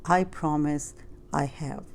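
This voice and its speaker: A woman's voice: sits low, steady tone, slow, darkish tone. A woman voicing a meek, weary young male pig in a children's storybook, timid and hesitant. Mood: eager to please.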